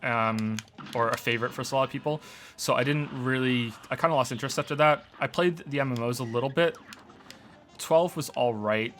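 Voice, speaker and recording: A man's voice: mid-range voice, brisk, fairly bright tone, a little hiss or hum. A man in his thirties, born in Wales, whose accent is mostly Canadian with some Welsh traces, chatting casually and rambling while he plays on a stream. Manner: rambling, stammering restarts, answers chat questions while playing. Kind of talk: casual gaming stream chat.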